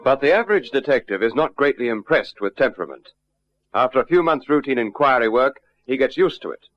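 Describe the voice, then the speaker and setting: An actor as a wry, composed narrator of detective cases, giving scripted narration in an old radio drama. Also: male voice, mid-range voice, animated, brisk, faint music behind, close to an everyday voice. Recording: dull, noisy old radio drama recording.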